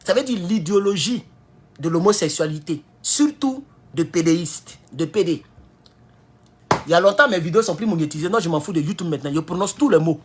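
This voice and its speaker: A man with an Ivorian French accent giving a heated political commentary, jumping from loud high outbursts to low mutters. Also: lively intonation, fairly quick, slight background noise. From Ivory Coast. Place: at home in California.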